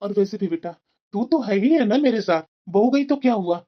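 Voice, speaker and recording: A woman voicing a weary, gentle but crafty elderly father-in-law in a moral-story cartoon, plaintive and coaxing, with dull sound. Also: mid-range voice, expressive, normal speed, lightly acted.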